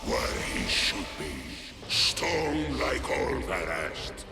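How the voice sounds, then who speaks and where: An actor playing a boastful monstrous titan villain in a video game, declaiming with grandiose, theatrical menace. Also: male voice, on the low side, huge pitch swings, very slow, gravelly, echoey room, full character voice. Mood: menacing, scornful.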